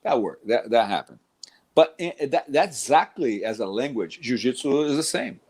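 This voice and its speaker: A man's voice: mid-range voice, lively intonation, moderate pace, a little mellow, slightly rough. A middle-aged man from Brazil with a Brazilian Portuguese accent, a jiu-jitsu instructor talking casually about teaching, rambling with many restarts. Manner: rambling, restarts often, says "man" a lot.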